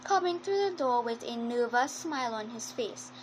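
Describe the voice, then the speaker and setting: High-voiced woman narrating a story. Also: expressive, moderate pace, slight background noise, rough recording. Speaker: narrator, speaking as a schoolgirl character. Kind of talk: scripted first-person story narration.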